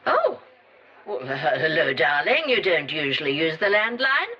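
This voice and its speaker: A woman acting a concerned, affectionate mother on a dull phone line in an audio drama, with a breathy voice. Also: low-pitched, very animated, normal speed, heavily acted. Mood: surprised, concerned. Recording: muffled, band-limited phone line.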